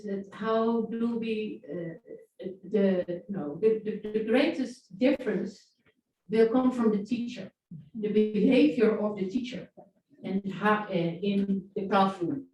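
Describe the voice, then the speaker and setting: A woman's voice: mid-range voice, takes it slowly, darkish tone. A woman from the Netherlands making a hesitant comment from the audience at a workshop, with many restarts. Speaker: workshop participant. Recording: echoey hall, far from mic, muffled.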